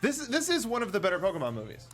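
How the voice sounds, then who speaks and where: Animated, high-pitched man hosting. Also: rapid-fire, faint music behind. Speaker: host of a film review show. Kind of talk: casual, chatty panel discussion.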